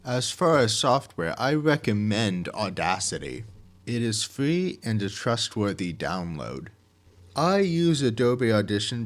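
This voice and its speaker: A man reading scripted instructions in a methodical, measured and plainly worded way, like a project director explaining a process. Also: fairly low pitch.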